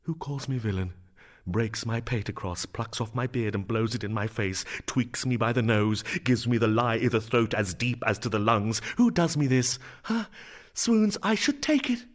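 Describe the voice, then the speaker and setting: A man playing a brooding, grieving young prince in a Shakespearean drama, with a theatrical, emphatic delivery that moves between hushed and declaiming. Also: medium pitch, animated, moderate pace, natural voice. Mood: raging.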